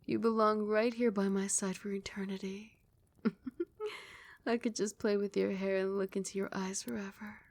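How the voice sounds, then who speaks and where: A doting vampire queen, played by a woman, murmurs in an ASMR roleplay. Her voice is soft and breathy and often slips into a whisper. She is soothing and possessive, regal yet tender, with a mid-pitched register. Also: level tone, a little slow, no echo, natural voice. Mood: adoring.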